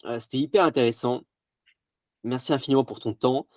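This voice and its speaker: Homme, animateur, enrhumé, enthousiaste, reprises fréquentes, son sec. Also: male voice, medium pitch, fairly quick, darkish tone, no room sound, clean studio sound. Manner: enthusiastic, stumbling restarts. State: has a cold. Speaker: podcast host. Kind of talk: casual interview talk.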